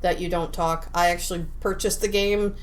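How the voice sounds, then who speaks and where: A woman co-hosting a podcast about video games, chatting casually in an animated, giggly, self-interrupting way. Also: fairly low pitch, moderate pace. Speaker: podcast co-host.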